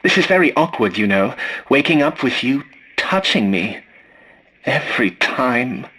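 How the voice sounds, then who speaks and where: A fussy little scavenger robot from a video game. The delivery is formal and courteous but laced with dry sarcasm. The mid-pitched male voice swings animatedly up and down. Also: big pitch swings, measured pace, pushed and bright, slightly rough, exaggerated voice, poor audio. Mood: dry, grumbling. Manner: prim, formal, dryly sarcastic. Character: fussy, polite, snarky robot scavenger butler. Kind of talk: scripted video game character lines.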